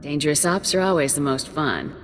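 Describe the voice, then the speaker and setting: Game hero lieutenant: cool, steady military delivery, clear music underneath. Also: female voice, sits low, brisk. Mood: wry, confident. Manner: calm, matter-of-fact. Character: cool, confident soldier lieutenant of the Republic. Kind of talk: video game voice acting. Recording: music behind the voice.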